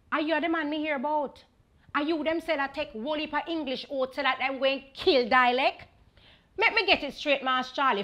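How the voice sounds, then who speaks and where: Lady reciting as a sassy indignant jamaican woman, mocking, emphatic patois poem, high and bright. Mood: mocking challenge. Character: indignant, witty Jamaican woman defending her dialect. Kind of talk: dramatic dialect poem recitation.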